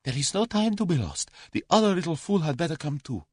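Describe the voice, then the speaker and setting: A man voicing a frightened male Russian agent who speaks slowly, in a strained and unsteady way. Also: fairly high pitch, very animated, husky, no room sound, clean studio sound, voice pushed up. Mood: urgent, tense. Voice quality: slow, strained, unsteady delivery.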